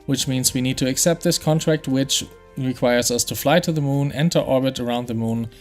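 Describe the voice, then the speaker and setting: Brief: gaming host, German accent, chatty, thinking aloud, average pitch. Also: male voice, brisk, faint music behind. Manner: explanatory, chatty, thinks aloud with fillers and restarts. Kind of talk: game playthrough commentary.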